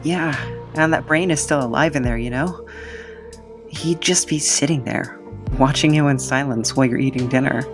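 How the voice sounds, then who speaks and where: Male actor as young adventurer, self-effacing, weary, halting delivery, audio drama, faint music bed. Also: fairly high pitch, close to an everyday voice. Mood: uneasy. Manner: hesitant, resigned.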